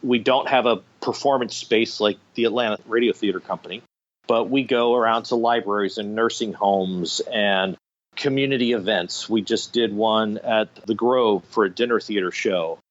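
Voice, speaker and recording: A man's voice: on the low side, normal speed, lo-fi sound. A middle-aged man telling anecdotes enthusiastically as an interview guest, in a conversational storytelling style. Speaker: guest, radio theater director.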